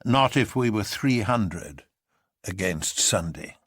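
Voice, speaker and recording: A man's voice: on the low side, expressive, takes it slowly, a bit bright, dry recording, clean studio sound, full character voice. A man voicing a grim young man disguised as an old professor in a storybook, mild, sober and dispassionate. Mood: sober, resigned. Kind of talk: dramatic storybook reading.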